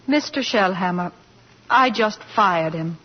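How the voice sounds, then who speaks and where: A woman playing a brisk, anxious store executive, speaking earnestly in 1940s radio drama style. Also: medium pitch, expressive, slightly rough. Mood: worried. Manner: earnest, worried. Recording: dull 1940s radio recording.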